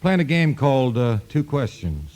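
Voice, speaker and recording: A man's voice: medium pitch, very animated, moderate pace, darkish tone, natural voice. A man playing an easygoing, wisecracking bandleader in 1950s radio comedy, with folksy, informal banter. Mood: breezy.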